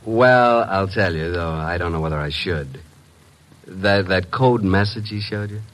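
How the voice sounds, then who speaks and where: An American actor playing a wisecracking, flirtatious detective's assistant who also narrates, in a wry, smooth-talking radio style. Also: male voice, low voice, unhurried, fairly bright tone. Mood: explaining. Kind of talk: mid-century American radio detective acting. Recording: noisy old radio recording.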